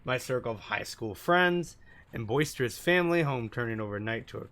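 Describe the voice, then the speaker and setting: A man's voice: mid-range voice, animated, fairly quick, fairly bright tone. A man doing let's play commentary and reading game text aloud, sometimes stumbling over the words. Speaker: gaming YouTuber. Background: background music.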